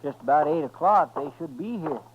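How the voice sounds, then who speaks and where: Loyal frontier sidekick, played by a man, American, respectful, highish voice, slightly noisy old radio recording. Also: lively intonation, a little fast, phone-quality sound, close to an everyday voice. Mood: dutiful. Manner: folksy, respectful. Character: deferential, loyal male marshal's helper. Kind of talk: 1950s radio western acting.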